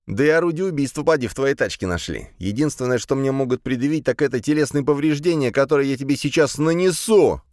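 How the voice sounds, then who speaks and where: A man voicing an agitated, scheming male bluffer who protests in a loud, whining manner for an audiobook. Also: fairly high pitch, no room sound, clean studio sound, voice pushed up.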